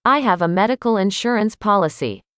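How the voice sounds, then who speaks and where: Woman, slow careful phrase reading, each line twice, animated pitch, crisp voice. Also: dry recording, clean studio sound. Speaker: language lesson reader. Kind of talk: reading phrases aloud for learners.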